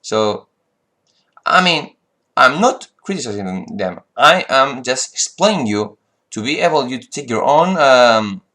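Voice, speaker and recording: A man's voice: mid-range voice, sing-song, unhurried, bright, forceful tone. Frustrated man. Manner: frustrated, rambling with restarts and fillers. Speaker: flight sim vlogger. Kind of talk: casual monologue.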